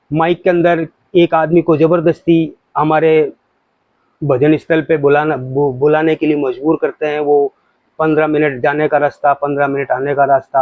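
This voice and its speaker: A man's voice: a little high, darkish tone, no echo, poor audio. A man giving an informal religious talk to viewers, explaining points in an explanatory way with examples. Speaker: religious commentator.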